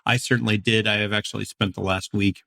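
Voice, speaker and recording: A hoarse man with a cold hosting a podcast, chatting enthusiastically and joking at his own expense. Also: on the low side, little pitch movement, quick pace, pushed and bright. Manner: chatty, enthusiastic, self-deprecating jokes. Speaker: podcast host. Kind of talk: casual interview chat.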